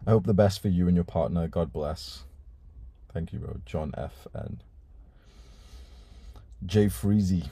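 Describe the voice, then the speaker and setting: Low, dark-voiced young man streaming. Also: a little slow, pauses often, breathy edge, no echo. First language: Urdu. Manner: laid-back, swears casually, reads chat messages aloud. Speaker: live stream host reading donations. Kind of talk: casual live stream chat.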